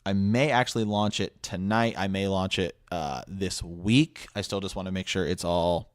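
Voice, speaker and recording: A man's voice: low voice, steady tone, normal speed, dry recording, studio quality. A man hosting a podcast, making a casual announcement in an earnest way and often repeating his phrases. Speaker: podcast host.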